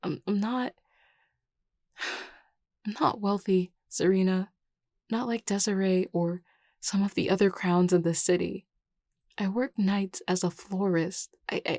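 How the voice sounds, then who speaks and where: A woman voicing an anxious, self-doubting young vampire leader in an audio roleplay, stammering hesitantly and often dropping to a breathy murmur. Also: mid-range voice, steady tone, a little slow, darkish tone, dry recording, studio quality. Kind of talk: intimate audio roleplay acting.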